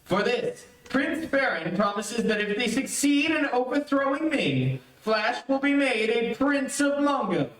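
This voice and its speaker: A man's voice: extremely high pitch, big pitch swings, measured pace, hardly any pauses, a bit bright. A man reading scripted narration for an old-time radio adventure serial. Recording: very echoey, distant microphone.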